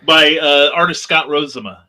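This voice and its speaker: A man's voice: a little high, normal speed, pushed and bright. A middle-aged man telling casual anecdotes as a panel guest, in a wry, joking way, acting out what people said. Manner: wry, joking, acts out quotes.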